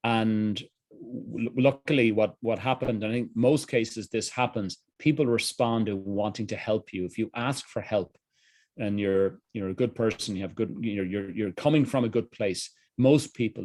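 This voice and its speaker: A middle-aged man with a Dublin Irish accent and a fairly low voice talks earnestly about his own path as an interview guest. His answers come candid and reflective, doubling back on phrases now and then. The sound is dry and close, with no room around it. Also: moderate pace, darkish tone, dry recording. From Ireland. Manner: candid, earnest, frequent restarts. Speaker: guest, executive coach.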